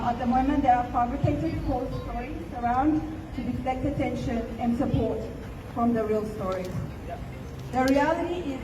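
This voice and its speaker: Woman rally speaker from Ethiopia, pained and emphatic, high pitch, heard from afar. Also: noisy background. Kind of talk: impassioned protest speech. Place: outdoor rally. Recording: distant, echoey outdoor recording.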